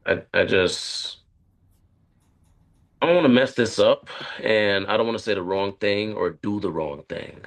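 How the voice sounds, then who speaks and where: An actor reading a script as an anxious, lonely, career-driven man who confides haltingly to a therapist. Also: fairly low pitch, gravelly. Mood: nervous. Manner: hesitant, halting, confessional. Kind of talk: dramatic script read.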